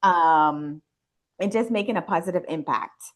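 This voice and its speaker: Woman, forties, from the Bahamas, interview guest, lowish voice, slow conversational storytelling. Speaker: interview guest, wellness business owner and nurse.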